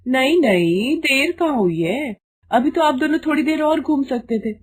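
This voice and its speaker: A woman's voice: sits high, expressive, normal speed, pitched above the performer's own voice. Woman voicing a haughty, scolding young daughter-in-law. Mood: sarcastic, angry. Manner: taunting, complaining.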